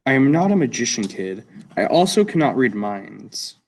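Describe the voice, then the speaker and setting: Haughty talking mole rat played by a student, formal and condescending, lively intonation, slightly distant recording. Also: medium pitch, moderate pace. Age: teenager. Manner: pompous, formal, precise. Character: haughty talking naked mole rat, know-it-all lab creature. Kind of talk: school radio play acting.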